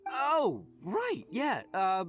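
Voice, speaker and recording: Brash, goofy, big-hearted young oni boss for a game; swooping pitch, music under. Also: male voice, huge pitch swings, extremely slow, full character voice. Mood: sheepish, boastful. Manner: boastful, goofy, stammering when flustered. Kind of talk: video game voice acting.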